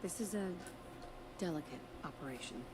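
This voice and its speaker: A low, level-headed voice of a determined video game heroine. She is direct and sincere. Clear ambience is audible behind her. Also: lively intonation, normal speed, very noisy.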